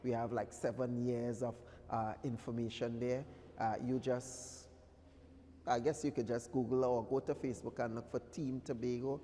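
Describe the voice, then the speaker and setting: A man gives informal, halting interview answers in a dark, mellow voice of middling pitch. The hall around him adds a long reverberant tail. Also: little pitch movement. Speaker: interview guest. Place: large indoor event venue. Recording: reverberant hall, faint background noise.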